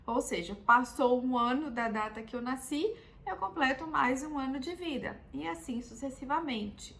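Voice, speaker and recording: A Brazilian woman in her thirties, a doctor, patiently explaining a health topic in a calm, teaching way and often checking in with the listener. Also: high voice, normal speed. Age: 30s. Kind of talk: explanatory health video talk.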